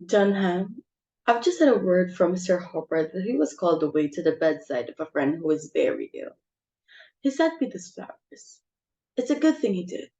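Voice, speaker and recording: A woman's voice: fairly low pitch, dark tone, muffled recording. Woman acting an imperious, fussy society hostess. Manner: theatrical, exclaiming, bossy. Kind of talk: amateur dramatic reading of a stage comedy.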